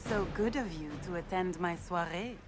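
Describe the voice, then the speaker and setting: A woman's voice: fairly low pitch, normal speed, over music, lightly acted. An actress playing a scheming, treacherous noblewoman in a fantasy game, theatrical, coy and gloating. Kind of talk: fantasy video game acting.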